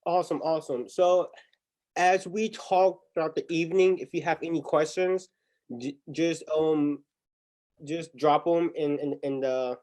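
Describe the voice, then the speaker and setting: A man's voice: on the high side, a little mellow. A young man hosting a livestreamed interview on a video call, chatty, often repeating words as he restarts. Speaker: interview host.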